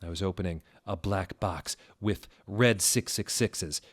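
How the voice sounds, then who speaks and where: A man narrating fan fiction in a dramatic comedic reading, with a mock-serious, deliberate and exaggerated delivery. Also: sits low.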